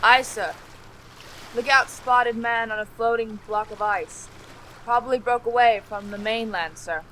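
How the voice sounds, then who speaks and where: Woman as a bright-voiced, alert ship's sailor. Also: fairly high pitch, fairly even intonation, a little slow, slight background noise. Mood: dutiful, alert. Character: dutiful, alert ship's lookout sailor calling to the captain. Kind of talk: audio drama acting.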